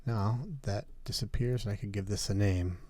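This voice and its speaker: A man teaching music production in a methodical software tutorial, explaining each step as he goes. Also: fairly low pitch, steady tone, normal speed. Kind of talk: software tutorial, talking through steps.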